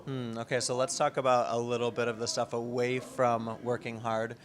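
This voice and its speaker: A man conducts a sports interview in an upbeat, friendly way. He chuckles often as he asks his questions. A faint rumble of background noise runs underneath. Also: little pitch movement, normal speed.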